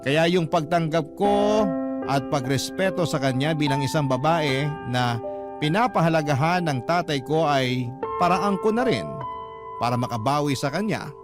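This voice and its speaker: Male radio storyteller over loud music. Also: fairly high pitch, expressive, normal speed. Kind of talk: scripted first-person narration of a listener's letter.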